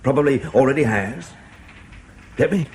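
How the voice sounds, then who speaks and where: Man acting a probing, expressively pitched intelligence officer. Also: animated, a little mellow, slight background noise, close to an everyday voice. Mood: brisk, probing. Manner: measured, questioning, pointed. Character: probing male British intelligence officer, dogged and controlled. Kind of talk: radio drama acting.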